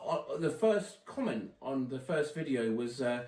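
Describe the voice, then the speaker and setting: A man's voice: mid-range voice, animated, a little slow, darkish tone. A man, a father and comedy video creator, narrating his clips with dry, self-mocking jokes.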